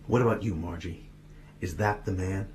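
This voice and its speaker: A man's voice: deep voice, moderate pace, a little mellow, slight background noise, lightly acted. An actor playing a male police detective pressing witnesses with questions in a radio drama.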